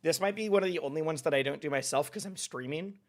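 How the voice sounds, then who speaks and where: A man's voice: fairly high pitch, fast talker. A young man hosting a live stream, chatting casually and laughing often as he reads out follows. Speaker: streamer host.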